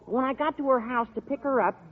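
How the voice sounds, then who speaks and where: An actor playing an earnest, long-winded, lovesick high school boy with a whiny delivery in a vintage radio sitcom. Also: quick pace. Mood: lovelorn. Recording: tinny vintage radio broadcast.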